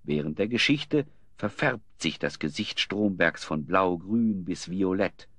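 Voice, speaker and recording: A man's voice: deep voice, lively intonation, a little slow, a bit bright, no room sound, studio quality, exaggerated voice. A man narrating a German audio drama in a scripted storytelling style.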